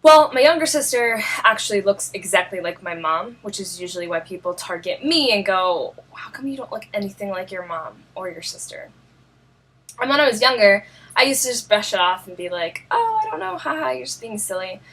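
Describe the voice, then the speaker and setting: A woman's voice: mid-range voice, lively intonation, a bit bright, low-quality recording. Earnest young woman with a New York accent. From Russia. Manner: earnest, halting and searching for words. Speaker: vlogger. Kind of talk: personal vlog.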